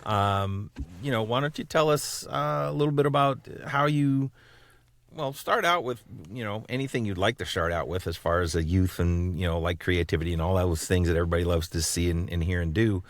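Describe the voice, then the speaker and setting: Rambling American man, lowish voice, dry sound. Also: a little fast, no echo. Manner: rambling, loosely worded, jokey. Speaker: podcast host. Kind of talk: casual chat and interviewing.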